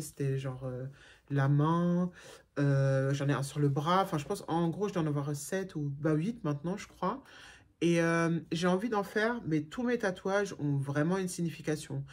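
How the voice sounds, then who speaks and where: A woman's voice: sits low, darkish tone. Frank chatty woman vlogger. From Germany. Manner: chatty, frank, many fillers and restarts. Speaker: YouTuber answering viewer questions. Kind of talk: casual chat.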